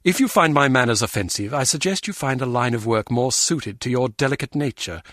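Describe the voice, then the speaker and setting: Man playing a scornful, animated spy superior. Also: mid-range voice, fairly quick, no room sound, studio quality, lightly acted. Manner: sarcastic, condescending, clipped formality. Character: scornful, pompous male intelligence superior. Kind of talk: video game voice acting.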